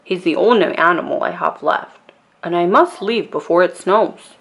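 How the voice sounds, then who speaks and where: A woman voicing a persistent travelling pet salesman in a children's storybook, with an inviting, questioning sales pitch. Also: moderate pace, creaky, fairly bright tone. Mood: coaxing.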